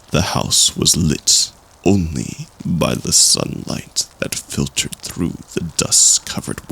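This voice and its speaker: A man telling a campfire horror story in a hushed, suspenseful manner with long dramatic pauses. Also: very deep voice, gravelly. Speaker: storyteller in an audio roleplay. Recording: faint background noise.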